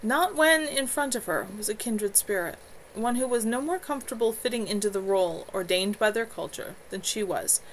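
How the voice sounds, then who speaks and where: A woman reading her own novel aloud at home in an expressive storytelling manner. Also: fairly high pitch, normal speed, a little hiss or hum, low-quality recording.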